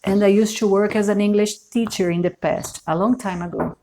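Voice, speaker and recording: A woman from Brazil with a Brazilian Portuguese accent, giving a tarot reading in English, her second language, at a slow and deliberate pace, often pausing to search for words and repeating phrases. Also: medium pitch, fairly even intonation, dark tone. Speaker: tarot reader. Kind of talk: unscripted tarot reading to camera.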